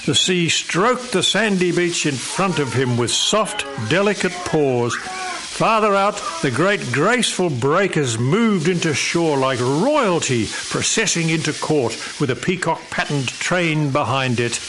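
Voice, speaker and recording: Animated male storyteller. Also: fairly high pitch, measured pace. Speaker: narrator. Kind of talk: expressive scripted story narration for live radio theatre. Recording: clear background noise, live audience recording.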